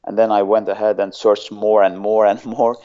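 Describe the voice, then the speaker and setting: A man's voice: fairly low pitch, little pitch movement, moderate pace, mellow, clean tone, dull audio. A man from Azerbaijan with an Azerbaijani accent, a guest on an interview show who talks hesitantly and often searches for words. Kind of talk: casual interview chat.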